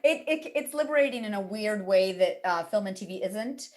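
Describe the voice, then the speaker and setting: A woman's voice: medium pitch, expressive, normal speed. A woman from Colombia, a voice actor, chatting informally with hesitant phrasing. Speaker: voice actor in a casual panel chat. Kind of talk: casual chat.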